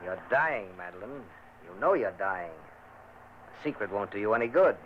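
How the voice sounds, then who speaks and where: A man's voice: lowish voice, expressive, unhurried, muffled recording. An actor playing a domineering lord who threatens his sister, with theatrical delivery in vintage radio drama style. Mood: menacing. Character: domineering, menacing lord, man. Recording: scratchy old radio recording with hiss.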